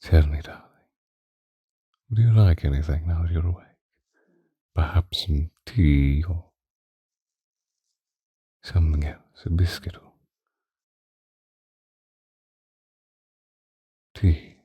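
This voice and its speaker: Man playing a very deep, doting vampire lover. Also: no room sound, highs cut off, full character voice. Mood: tender, possessive. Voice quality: very deep, dark, husky, breathy, often whispering. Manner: slow, intimate, old-fashioned romantic wording. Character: possessive, doting ancient male vampire lover. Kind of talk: ASMR roleplay monologue. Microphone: close microphone, dry.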